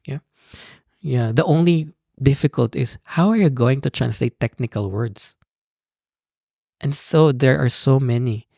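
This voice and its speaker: Guest voice: gravelly young Filipino man, animated storyteller, dry close sound. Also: medium pitch, unhurried, long pauses, no echo. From Philippines. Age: young adult. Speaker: podcast guest, PhD student. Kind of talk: conversational interview.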